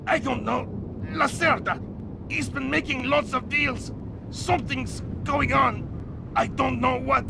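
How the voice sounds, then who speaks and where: A man's voice: takes it slowly, creaky, full character voice. A man voicing a terrified henchman being interrogated in a video game, blurting and pleading in panic. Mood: panicked. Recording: loud rumbling engine and storm noise.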